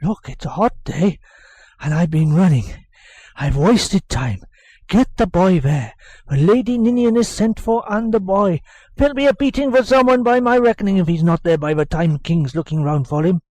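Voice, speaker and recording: A harried servant from a storybook, grumbling and impatient after running about, as the reader plays him. The voice stays close to the reader's own, with lively pitch. The sound is dry. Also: normal speed, no room sound, natural voice. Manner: impatient, out of breath. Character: hurried, grumbling male servant. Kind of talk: audiobook character voice.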